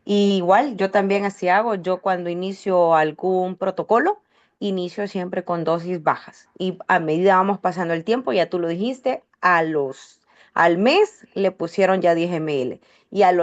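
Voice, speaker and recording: A woman's voice: on the low side, a little slow, highs cut off. A woman hosting a health testimonial session, talking in loose run-on phrases with frequent restarts. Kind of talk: warm conversational hosting.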